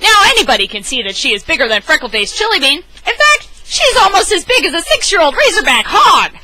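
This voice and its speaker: A woman voicing an excitable, insistent male storybook character who exclaims emphatically. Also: very high-pitched, fairly quick, pitched above the performer's own voice, full character voice. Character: Lunchbox Louie, excitable, insistent male storybook pet owner.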